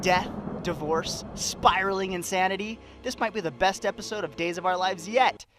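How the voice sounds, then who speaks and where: A man's voice: high voice, moderate pace, noisy background. A man with an American accent giving scripted television news reports.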